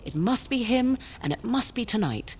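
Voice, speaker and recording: An actress playing a mysterious, guarded young sorceress in a fantasy game, speaking in a cool, formal, archaic manner. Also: female voice, on the high side, sing-song, normal speed, a little mellow, a little hiss or hum. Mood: firm. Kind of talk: fantasy video game dialogue.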